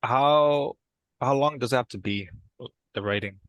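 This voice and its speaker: A man's voice: medium pitch, measured pace, no room sound, dull audio, lightly acted. A man playing an agreeable brother in a role-played therapy session, polite, brief and a little tentative. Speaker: therapy client. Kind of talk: improvised role-play dialogue.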